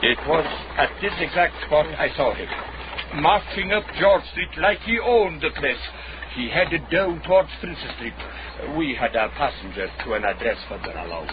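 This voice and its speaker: In a dull, noisy radio drama recording, an actor plays a wily Scottish sedan-chair carrier out for money. His voice is high for a man. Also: animated, normal speed, natural voice. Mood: brisk, grumbling. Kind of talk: period radio drama acting. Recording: dull radio drama, clear background noise.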